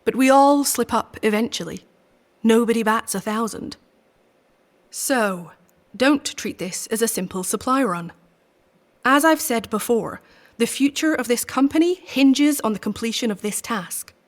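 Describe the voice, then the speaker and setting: A woman plays a commanding company chief giving orders. Her voice is a little high and sits neatly in the line, and she speaks formally and deliberately. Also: animated. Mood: stern. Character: stern woman company chief briefing agents. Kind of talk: audio drama acting.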